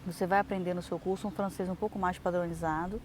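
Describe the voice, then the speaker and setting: A woman's voice: lowish voice, fairly even intonation, fast. A young adult Brazilian woman giving chatty, explanatory advice in a casual vlog, with lots of fillers and restarts. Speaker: vlogger. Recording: noisy outdoor recording.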